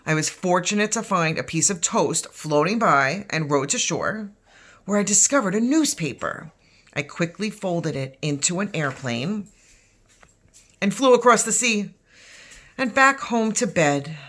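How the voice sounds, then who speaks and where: A woman's voice: on the low side, expressive, unhurried, fairly bright tone. A woman voicing an unflappable grandpa who tells a tall tale in a storybook. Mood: excited storytelling.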